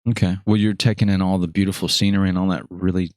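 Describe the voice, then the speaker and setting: A man's voice: deep voice, level tone, fast talker, darkish tone, no echo, clean studio sound. A man hosting a podcast in casual chat, rambling upbeat and correcting himself as he goes. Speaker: podcast host.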